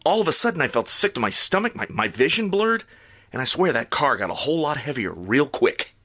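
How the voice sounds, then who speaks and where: A man's voice: a little high, sing-song, fairly quick, a bit bright. A man playing a middle-aged superhero in an audio drama, reassuring but weary and wryly self-deprecating. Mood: shaken.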